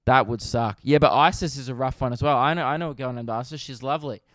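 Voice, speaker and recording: A man's voice: medium pitch, quick pace, fairly bright tone, no echo, studio quality. An Australian man hosting a comedy podcast, riffing casually, swearing freely and laughing at his own jokes. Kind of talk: casual solo podcast chat.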